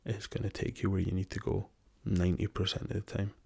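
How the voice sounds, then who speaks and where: A hoarse young man speaks softly in a low, flat, gravelly murmur. His raspy voice carries a lingering cough's strain, and his dark tone stays gentle and hesitant, often dropping to a whisper. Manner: soft-spoken, slow and hesitant with many ums, drifting into whispers. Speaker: ASMR channel host. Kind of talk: soft-spoken ASMR travel chat.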